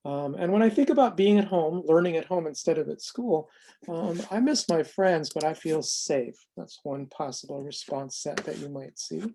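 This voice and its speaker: A presenter giving an explanatory software demonstration in a measured way, often pausing mid-sentence. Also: mid-range voice, a little hiss or hum. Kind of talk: semi-scripted explanatory demo narration.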